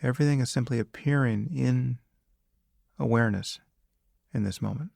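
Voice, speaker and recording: A man leading a guided meditation as a teacher, speaking calmly and deliberately in short phrases with long pauses. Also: mid-range voice, creaky, no room sound. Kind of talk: guided meditation, spoken instruction.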